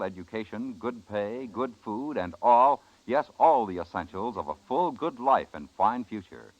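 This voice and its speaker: A male American announcer reads scripted copy in formal 1950s radio style. His fairly low voice moves emphatically up and down. The recording has a dull, vintage sound. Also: fairly bright tone, no echo.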